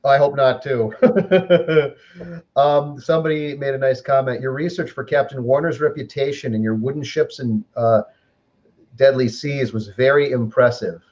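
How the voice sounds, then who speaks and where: A man's voice: mid-range voice, normal speed, darkish tone. A man hosting a live online interview show, chatting easily and reading out viewer questions with plenty of ums. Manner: easygoing, chatty, lots of um fillers. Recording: muffled, slightly lo-fi audio.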